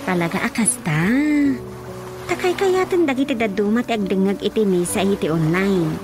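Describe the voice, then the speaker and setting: A woman's voice: mid-range voice, animated, normal speed, over music, natural voice. An actress with an Ilocano accent playing a curious townswoman in a radio drama. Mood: questioning.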